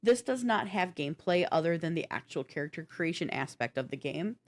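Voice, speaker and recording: A woman's voice: on the low side, lively intonation, brisk. A woman co-hosting a gaming news show, counting down a list in a casual, chatty, informal way.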